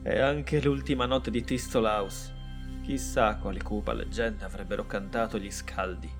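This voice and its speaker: A man's voice: lowish voice, steady tone, moderate pace, music in the background, natural voice. An actor playing a frightened, weary male innkeeper of a forest inn in an Italian audio drama. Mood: grateful.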